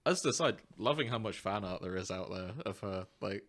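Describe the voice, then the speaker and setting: A man's voice: low voice, lively intonation, fairly quick, a bit bright. A man co-hosting a podcast in casual, jokey banter with friends, often teasing them. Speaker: podcast co-host. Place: indoors, three people in one room.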